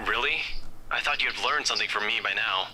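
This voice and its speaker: Guy doing a very sharp bright voiced young cop buddy, earnest, visual novel, slight noise. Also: fairly low pitch, brisk, voice pushed up, rough recording. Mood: concerned, chiding. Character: earnest young male police friend, concerned and chiding. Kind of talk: visual novel dialogue read aloud.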